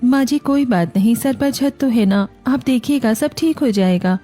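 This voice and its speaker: A woman playing a gentle, devoted young daughter-in-law. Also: a little high, normal speed, soft background music, close to an everyday voice. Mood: patient, reassuring. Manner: reassuring, respectful. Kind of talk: Hindi cartoon family story acting.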